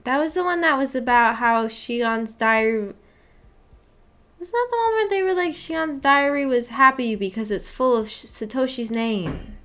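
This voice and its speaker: A woman with a somewhat high-pitched voice hosts a casual book club stream. She rambles as she thinks aloud, questioning herself in a puzzled way. Her talk is giggly and informal. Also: lively intonation, unhurried, a bit bright. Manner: giggly, laughs often while talking. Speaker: streamer hosting a visual novel book club. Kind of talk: casual livestream chat reacting to a story.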